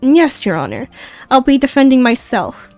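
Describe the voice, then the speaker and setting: A woman's voice: sits high, huge pitch swings, moderate pace, a little mellow, dry recording, faint music behind, exaggerated voice. A woman with an Icelandic accent reading an earnest young male defense lawyer in a plain voice.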